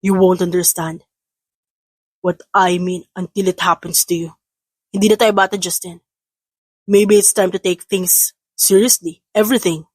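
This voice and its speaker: A brooding, possessive man in love from a romance audiobook, acted out by a woman, with a Filipino accent. The lines are terse and pitched low, in a dry recording. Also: fairly even intonation, a little slow, long pauses, slightly rough, dry recording. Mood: troubled, serious. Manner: terse, switches between English and Tagalog.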